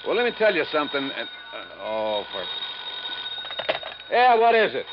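Shady American discount-store boss: animated, grumbling, impatient, slangy, on a muffled old radio recording. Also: male voice, medium pitch, normal speed, heavy background noise. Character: shady, blustering, harried cut-rate mattress store owner. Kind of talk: 1950s radio drama acting.

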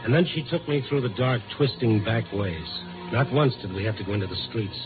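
An actor playing a tough, world-weary Chicago newspaper reporter in a 1950s radio noir drama, clipped and blunt. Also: male voice, lowish voice, animated, brisk, lightly acted. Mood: tense narration. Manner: hard-boiled, clipped, blunt. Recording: tinny 1950s radio recording. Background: music.

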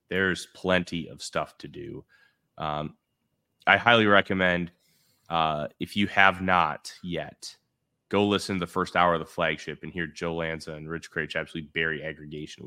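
A man's voice: extremely low pitch, steady tone, normal speed, sharp tone. A man hosting a podcast, talking in a laid-back, loose way with frequent fillers. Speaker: podcast host. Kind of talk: casual chat.

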